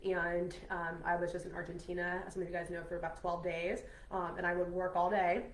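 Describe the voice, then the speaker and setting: A woman's voice: fairly low pitch, flat delivery, fairly quick, echoey room, poor audio. A woman coaching in a casual live video from home. She sounds nasal from a cold and talks in a chatty, upbeat way, full of "um"s and "like"s as she speaks to her viewers. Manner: chatty and upbeat, many "um"s and "like"s, speaks straight to "you guys". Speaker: health and weight-loss coach. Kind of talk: casual live video chat to an online group.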